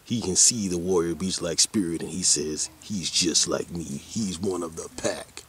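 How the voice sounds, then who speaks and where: A man's voice: deep voice, normal speed, a bit bright. A man of about forty, rambling casually in a folksy, colloquial way as he vlogs outdoors. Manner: folksy, colloquial, drifting into asides to his dogs. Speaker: vlogger. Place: outdoors on a hike. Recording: noisy outdoor ambience.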